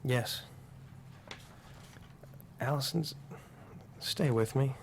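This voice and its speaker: Man playing an earnest, creaky-voiced teenage boy. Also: medium pitch, very slow, slight background noise. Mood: pleading, resolute. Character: earnest small-town teenage boy, sincere and stubborn. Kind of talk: live screenplay table read.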